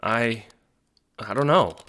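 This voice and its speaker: A man's voice: medium pitch, slow pace, noisy background, voice pushed up, lightly acted. A man playing an awkward, hesitant teenage prankster who questions their gender, in an audio drama. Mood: shy, unsure. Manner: hesitant, searching for words.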